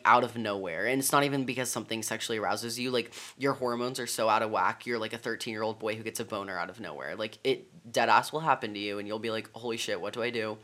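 A man's voice: medium pitch, pushed and bright. A young man talking candidly in a casual vlog, chatty and full of fillers. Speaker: vlogger.

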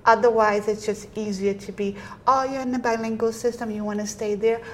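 Portuguese-speaking woman host, lively delivery. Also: a little high, a little fast, a little hiss or hum. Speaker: state representative and host. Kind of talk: casual policy conversation.

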